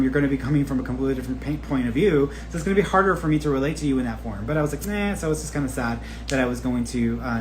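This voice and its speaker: A man's voice: on the high side, slight background noise, poor audio. A man talking casually and candidly as a spiritual teacher on a live stream, rambling informally, cursing freely and expressively acting out quoted remarks. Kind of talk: casual, rambling personal talk to online followers.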